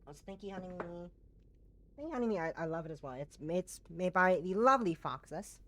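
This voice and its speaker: A woman streamer chatting casually with her viewers, her voice hoarse and fading and her nose blocked, talking in bursts with lots of restarts. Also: lowish voice, expressive, a little fast. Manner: chatty and warm to chat, frequent restarts. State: hoarse, voice going.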